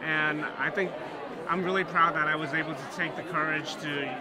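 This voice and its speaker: A man's voice: on the high side, steady tone, normal speed, poor audio. A young man in his twenties, a graduate student, answering interview questions earnestly with lots of "you know" fillers. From Hong Kong, raised in New York. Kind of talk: casual interview. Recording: loud crowd behind. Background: crowd chatter.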